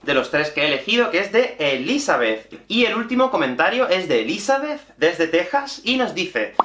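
A man, teaching in an animated online lesson. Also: sits high, normal speed. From Spain. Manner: animated, gestures constantly while explaining. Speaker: Spanish teacher. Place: small room with bookshelf and whiteboard. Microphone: camera at mid-shot distance. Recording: echoey small room.

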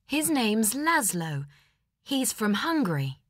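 Woman as a very slow answering student. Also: a little high, big pitch swings, dry recording, studio quality, pitched above the performer's own voice, full character voice. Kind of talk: coursebook dialogue reading.

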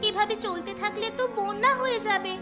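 A woman voicing a sensible young village girl in a cartoon, earnest and calm in trouble. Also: very high-pitched, fairly quick. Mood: worried. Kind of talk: cartoon voice acting. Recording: music under the voice.

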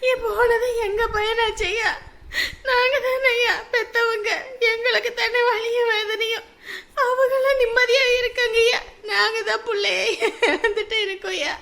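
A desperate mother who sobs as she pleads for her son to be found. Her voice sits very high and sounds bright and clear, and tears run through every line. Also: fairly even intonation, a little slow, exaggerated voice. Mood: pleading, weeping. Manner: sobbing, pleading. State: crying. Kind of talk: TV serial drama.

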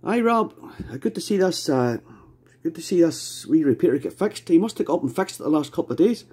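A Scottish man makes casual small talk over amateur radio. His voice sits a little high, with a mellow, husky grain. He rambles and often circles back over his own phrases. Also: lively intonation, brisk. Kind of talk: casual ham radio chat.

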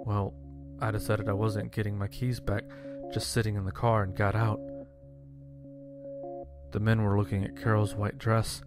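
A man narrating a scripted first-person horror story in a measured, dramatic way. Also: lowish voice, little pitch movement. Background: music.

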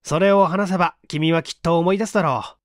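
A man's voice: fairly high pitch, fairly even intonation, brisk, no echo, clean studio sound, natural voice. A man voicing a needling, coolly mean male friend who presses and accuses in a dramatic audiobook reading. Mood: challenging.

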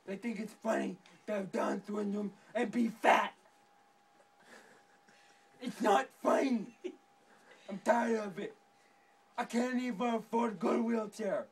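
A man voicing a whiny teenage girl stuck in a wheelchair in an improvised comic skit, talking in a slow, halting, plaintive way. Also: medium pitch, close to an everyday voice. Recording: distant mic with faint background noise.

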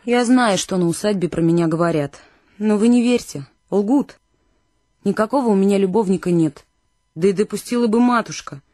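A woman's voice: medium pitch, moderate pace, dark tone, no echo, studio quality, natural voice. An actress playing a proud, frank young village woman, content and loving, in a radio play. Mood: earnest, tender.

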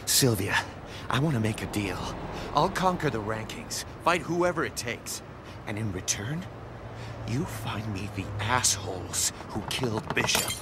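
A man's voice: lowish voice, animated, a little slow, noisy background. A man voicing a brash, vengeful young assassin in a video game, cocky, sardonic and foul-mouthed. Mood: angry, determined. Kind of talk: video game cutscene acting.

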